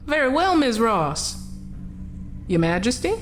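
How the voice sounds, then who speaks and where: An actress playing a calm, sinister coroner in a radio drama, speaking in a measured, formal way. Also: female voice, fairly high pitch, soft background music. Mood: cold. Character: calm, sinister female coroner who claims souls.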